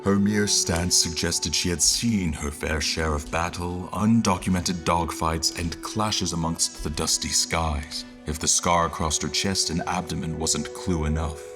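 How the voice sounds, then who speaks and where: A man plays a wry adventurer recounting his memories in a fantasy audio drama. His voice is low, his telling ornate and literary. Music runs clearly behind him. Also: fairly even intonation, a little slow, hardly any pauses. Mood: admiring. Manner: ornate, descriptive storytelling. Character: male crew member narrating his memories, literary and wry.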